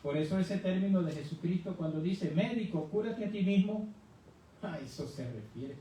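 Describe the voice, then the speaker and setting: A man's voice: sits high, moderate pace, dark tone. A man giving a conversational Bible lesson as a church teacher, explaining didactically and putting rhetorical questions to his listeners. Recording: very echoey room, distant mic, faint noise.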